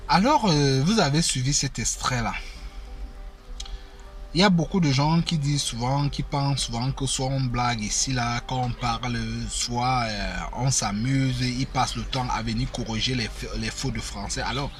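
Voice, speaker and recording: A man's voice: mid-range voice, moderate pace, faint music behind. A man, hosting his channel and talking casually to viewers with many fillers and repeated phrases. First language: African mother tongue. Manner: conversational, repeats himself, frequent fillers. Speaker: YouTube channel host. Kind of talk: casual spoken commentary to viewers. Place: indoors in Germany.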